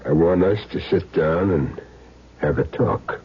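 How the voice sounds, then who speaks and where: An American actor playing a cool, menacing hired hitman in a 1970s radio drama, with clipped, sardonic, deliberate delivery. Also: low voice, husky. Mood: sardonic threat. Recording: muffled, hissy 1970s radio broadcast recording.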